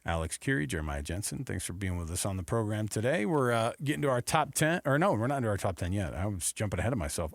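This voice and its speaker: Sports radio co-host: American man, mid-pitched voice, casual bantering delivery, dry close studio mic. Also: dry recording. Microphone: close studio radio microphone.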